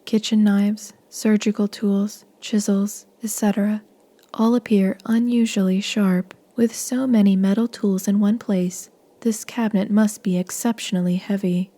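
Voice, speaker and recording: A woman's voice: mid-range voice, little pitch movement, a little slow, mellow, lightly acted. A woman performing the solemn scripted narration of a horror game dub. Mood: descriptive.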